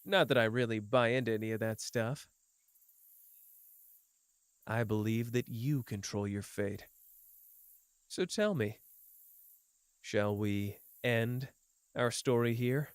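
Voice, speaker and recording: A man's voice: mid-range voice, a little slow, long pauses, clean tone, dry recording, clean studio sound, natural voice. A man voicing a teasing, world-weary young man. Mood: playful. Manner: soft, teasing, drifting between playful and bleak. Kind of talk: ASMR roleplay.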